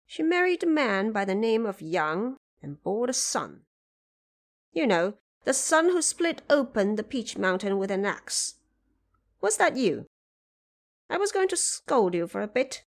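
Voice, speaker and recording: A woman's voice: mid-range voice, big pitch swings, unhurried. A woman voicing a cocky, mocking male monkey king in an audio drama, with a taunting, condescending manner. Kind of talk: audio drama character acting.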